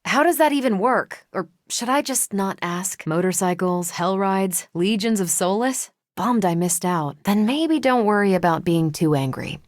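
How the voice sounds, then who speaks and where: A woman's voice: mid-range voice, animated, measured pace, few pauses, dry recording, lightly acted. A woman voicing a confident, wry superheroine soldier in a video game, with a dry, teasing, self-assured delivery. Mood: wry, casual.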